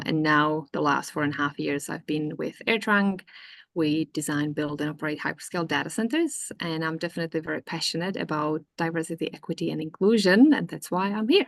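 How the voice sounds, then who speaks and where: A woman's voice: sits low, dry recording. A woman with a Czech accent introducing herself conversationally as a panelist on a video call. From Czech Republic. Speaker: panelist, talent acquisition professional.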